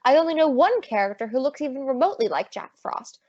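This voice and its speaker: A woman's voice: sits high, sing-song, quick pace, a little mellow, no room sound. A woman playing a sassy, breezy lady detective with wry quips in an old-time radio drama. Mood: playful. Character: sassy, wisecracking lady private detective, confident and flirtatious. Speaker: detective lead and narrator. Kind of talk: old-time radio detective acting and first-person narration. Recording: dull lo-fi audio.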